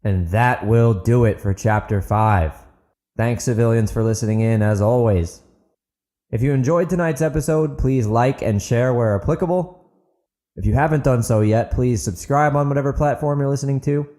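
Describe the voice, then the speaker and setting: A man's voice: medium pitch, moderate pace, mellow. A man narrating fiction and hosting his own podcast, in the style of a storyteller addressing listeners. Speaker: author, podcast host and narrator. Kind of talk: dramatic audiobook narration and podcast outro.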